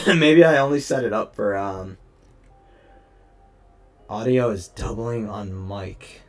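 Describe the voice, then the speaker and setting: A young man with a hoarse, gravelly voice chats casually through a live stream, explaining each step of his photo editing and answering viewers. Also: on the low side, takes it slowly, faint music behind. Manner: chatty, talks through each step, greets chat. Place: home room at a desk. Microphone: headset.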